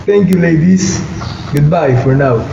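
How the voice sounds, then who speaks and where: Man as a gravelly, earnest young hero. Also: a little high, measured pace. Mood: grateful, polite. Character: earnest young male Greek hero, polite, awed. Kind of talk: amateur radio play acting. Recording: echoey, muffled room with background noise.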